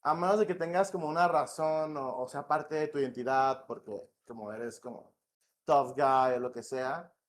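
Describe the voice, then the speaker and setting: A man from northwest Mexico with a northern Mexican accent, a game director talking as a podcast guest in a relaxed, slangy, rambling way. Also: medium pitch, moderate pace, slight background noise. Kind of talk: casual podcast interview. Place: home in Morelia.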